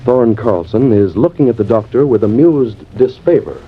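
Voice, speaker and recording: A man's voice: mid-range voice, lively intonation, moderate pace, a little hiss or hum. A man announcing and narrating a scripted 1950s radio drama. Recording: dull vintage radio recording, organ music.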